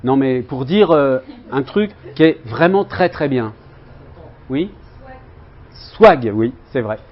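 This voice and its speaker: A male lecturer leads a seminar discussion, stopping and restarting often and filling gaps with hums. His voice sits at an ordinary middle pitch. The sound is slightly dull and lo-fi, as if from a modest classroom recording. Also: expressive, slow pace. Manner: hesitant, many fillers and restarts. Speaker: university lecturer in linguistics. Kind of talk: seminar talk with students. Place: university lecture room.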